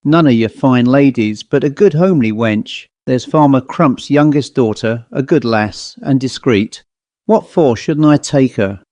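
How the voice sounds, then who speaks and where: A man voicing a gruff, troubled country squire with a rough, blustering way of speaking. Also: mid-range voice, unhurried, darkish tone, dry recording, natural voice. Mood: repentant. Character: gruff, guilt-ridden male country squire.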